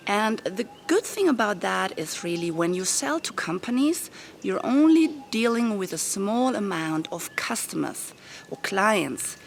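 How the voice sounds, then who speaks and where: A woman with a rough voice, giving upbeat step-by-step business advice to camera from a garden outdoors. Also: mid-range voice, sing-song, measured pace, a little hiss or hum. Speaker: business coach. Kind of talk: casual talk to camera. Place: garden of a private villa, outdoors.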